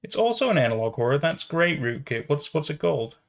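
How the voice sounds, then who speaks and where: A man's voice: fairly high pitch, big pitch swings, brisk, creaky. A man casually chatting and reacting as a livestreamer, musing aloud and often repeating his phrases. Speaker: streamer reacting to horror videos.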